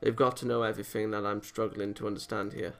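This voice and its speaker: A man's voice: lowish voice, flat delivery, quick pace, gravelly. A man hosting a let's play, reading a visual novel aloud with casual comments and often stumbling over lines. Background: game music.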